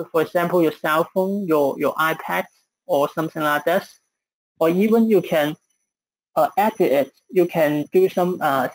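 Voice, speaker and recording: Chinese-accented man demoing software, dry sound. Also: on the high side, dry recording, poor audio. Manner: hesitant, many fillers. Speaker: software presenter. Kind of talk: live webinar presentation.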